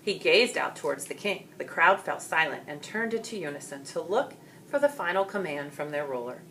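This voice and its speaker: A woman reading a story aloud as expressive scripted narration. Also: sits low, normal speed, a little hiss or hum. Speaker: storybook reader.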